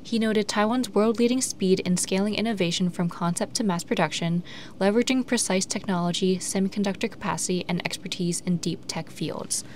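Woman reading scripted news, faint noise. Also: medium pitch. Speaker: news video narrator.

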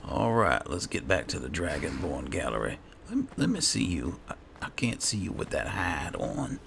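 Man, extremely low pitch, heavy vocal fry, casual game playthrough commentary, low-key musing, noisy recording. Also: wildly swooping pitch, moderate pace. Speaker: gaming host. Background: game ambience.

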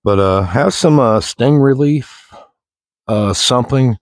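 A man's voice: medium pitch, slow, no room sound, studio quality. A middle-aged man with an American accent chatting casually while walking outdoors in the woods, in a folksy, rambling, plain-spoken way. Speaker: outdoor vlogger. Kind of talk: casual walk-and-talk chat.

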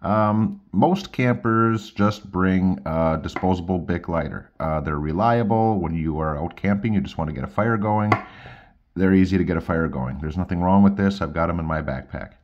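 A man's voice: low voice, moderate pace, a little hiss or hum. A middle-aged man casually demonstrating and reviewing outdoor gear, recorded indoors at a tabletop with a slightly dull sound.

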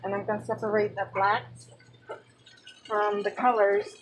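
A woman's voice: medium pitch, little pitch movement. A young woman explaining step by step how she does her laundry, filmed on a handheld phone in a small home laundry room. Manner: slow, step-by-step explaining while working. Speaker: vlogger. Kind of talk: casual how-to narration. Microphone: handheld phone camera, close. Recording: phone video with loud water noise. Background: loud running water from the washing machine.

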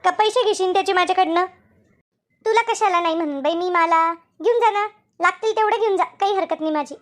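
A woman's voice: very high voice, a little fast. A woman voicing a chatty village woman in a comic cartoon, with a rural Maharashtrian Marathi accent and colloquial, repetitive talk. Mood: agreeable. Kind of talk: animated comedy dialogue.

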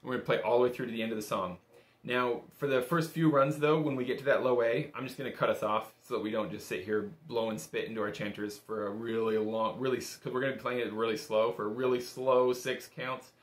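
A man's voice: medium pitch, fairly even intonation, fast. A man in his thirties giving an informal, step-by-step music lesson in an easygoing, encouraging way, recorded in a small room. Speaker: bagpipe instructor. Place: small indoor home workroom. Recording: roomy, echoing small-room sound.

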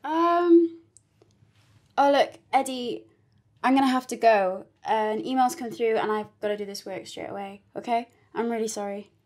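A woman's voice: fairly high pitch, normal speed, a little mellow, natural voice. A woman playing an easygoing, politely evasive busy woman in a naturalistic drama, with a light, conversational delivery. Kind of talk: naturalistic drama phone dialogue.

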